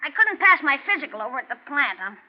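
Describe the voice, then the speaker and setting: An actor playing a small, frightened man with a high, childlike voice, stammering and pleading in a 1940s radio crime drama. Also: very animated, fast. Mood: nervous. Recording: muffled, faintly noisy 1940s radio recording.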